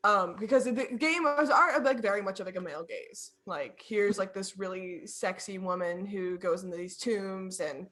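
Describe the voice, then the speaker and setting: A woman's voice: mid-range voice, normal speed, few pauses, a bit bright. A woman guest on a casual podcast, talking in a chatty rambling way with many fillers and frequent giggles. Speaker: guest streamer.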